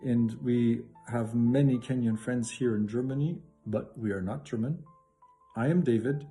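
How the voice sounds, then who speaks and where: A man's voice: mid-range voice, normal speed, dark tone, faint music behind. A middle-aged man from Canada, a professor and lay preacher, giving an enthusiastic greeting and Bible teaching with frequent restarts.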